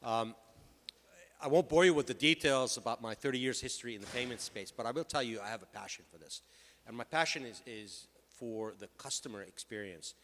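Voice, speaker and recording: A man's voice: mid-range voice, brisk, a bit bright, slight background noise. A middle-aged man from Lebanon speaking as a panelist, telling his own story at a conference. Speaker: panelist, payments executive. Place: large conference hall. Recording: reverberant hall, PA sound.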